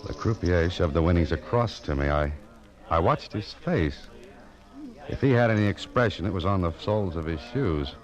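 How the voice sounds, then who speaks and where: An actor playing a world-weary, wry American private detective in a deadpan radio noir style. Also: male voice, deep voice, moderate pace. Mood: wry suspense. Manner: dry, deadpan, wisecracking. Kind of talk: 1940s-50s radio noir narration and dialogue. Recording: muffled vintage radio recording.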